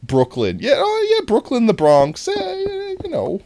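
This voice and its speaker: Streamer doing a brash, loud New York wiseguy. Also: sits high, wildly swooping pitch, measured pace, a little hiss or hum, voice pushed up, heavily acted. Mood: brash, mock-offended. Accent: exaggerated New York.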